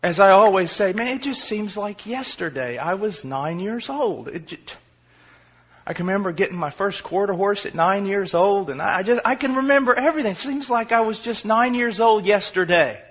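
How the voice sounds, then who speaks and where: A fervent man in his forties preaches with a husky, somewhat high voice. He drops to near murmurs and then surges into shouts. The recording sounds dull and lo-fi. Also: expressive, normal speed. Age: 40s. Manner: impassioned, swinging from quiet to shouting; self-deprecating humor. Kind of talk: sermon.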